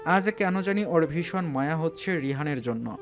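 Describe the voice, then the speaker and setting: Higher-pitched man narrating a love story. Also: expressive, moderate pace, soft background music. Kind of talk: scripted dramatic story narration.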